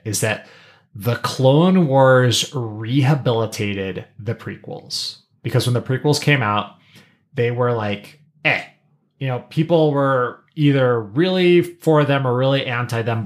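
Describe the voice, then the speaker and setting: A man's voice: lowish voice, fairly bright tone. Man chatting casually, rambling as he thinks. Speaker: podcast host. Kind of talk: casual pop-culture discussion.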